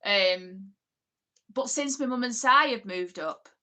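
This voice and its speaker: Bright-voiced Oldham woman chatting. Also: fairly high pitch, takes it slowly. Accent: Oldham, northern English. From England. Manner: chatty, rambling, many ums and asides. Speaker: livestream host. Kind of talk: casual livestream chat reading comments.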